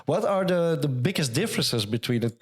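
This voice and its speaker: Man, podcast host, halting, friendly, searches for words. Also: a little high, lively intonation, husky. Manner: halting, many fillers and restarts, friendly. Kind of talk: casual podcast interview.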